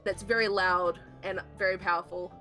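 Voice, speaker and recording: A woman's voice: medium pitch, fairly even intonation, normal speed, bright, forceful tone, faint music behind, poor audio. A woman from New Zealand with a New Zealand accent, chatting casually as a stream guest and explaining things hesitantly with lots of fillers. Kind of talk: casual chat.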